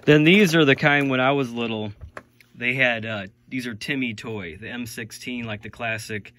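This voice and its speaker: A man's voice: mid-range voice, moderate pace, a bit bright, a little hiss or hum. Man explaining old toys, chatty. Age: 40s. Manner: knowledgeable, explaining toy history with many fillers. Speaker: toy collector host. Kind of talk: casual chat. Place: basement full of old toys.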